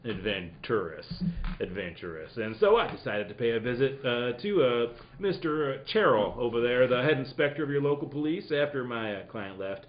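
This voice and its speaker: Cheeky British detective, played by a man, mid-pitched, lively intonation, halting mock-formal radio comedy read. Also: a little hiss or hum, close to an everyday voice. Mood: self-assured, halting. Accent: put-on British. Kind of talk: comic live reading of a radio play. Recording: dull, roomy live recording.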